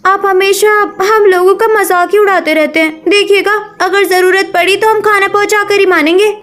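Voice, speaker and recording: A woman's voice: extremely high pitch, fairly even intonation, moderate pace, a bit bright, pitched above the performer's own voice. A woman voicing a spirited, eager young daughter-in-law in a family cartoon story. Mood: indignant.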